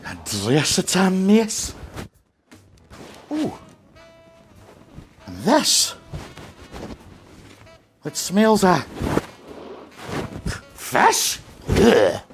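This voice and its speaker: A man plays a silly pantomime comic with a highish voice that leaps wildly up and down. He delivers squeamish, jokey patter with big exclamations, over faint music. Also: wildly swooping pitch, drawn-out words, long pauses, heavily acted. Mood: disgusted, playful.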